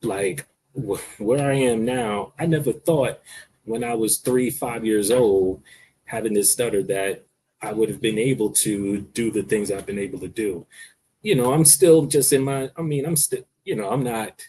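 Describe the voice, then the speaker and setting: A man's voice: on the low side, normal speed, warm tone, slight background noise, low-quality recording. A young adult man speaking earnestly in a conversational interview, stuttering and restarting phrases as he reflects. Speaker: podcast guest.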